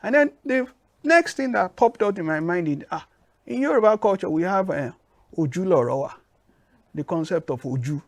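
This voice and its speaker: A man with a Nigerian accent answering interview questions in a measured, emphatic way. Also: high-pitched, animated, no room sound. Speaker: guest.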